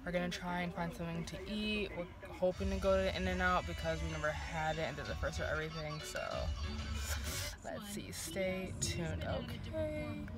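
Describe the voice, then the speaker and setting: Young woman, casual phone vlog, chatty and upbeat, loud music under her. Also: fairly low pitch, expressive, moderate pace. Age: young adult. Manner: bubbly, chatty, uses slang. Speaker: vlogger. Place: car, hotel room and busy outdoor plaza. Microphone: phone held at arm's length.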